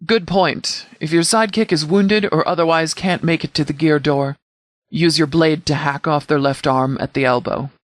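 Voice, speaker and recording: A stern wasteland militia sheriff, voiced by a man for a video game, with a slightly high male voice recorded close and dry. He speaks formally and with composed authority, handing out clipped orders in measured sentences. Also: fairly even intonation, dry recording, close to an everyday voice. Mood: stern, businesslike. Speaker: game voice actor playing a sheriff. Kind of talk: scripted video game dialogue.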